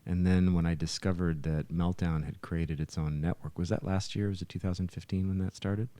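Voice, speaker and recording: A middle-aged man with a very low, soft-edged voice chats as a podcast host. He rambles and doubles back on his words as he explains. The sound is dry. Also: steady tone, fairly quick, no room sound. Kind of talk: casual podcast chat.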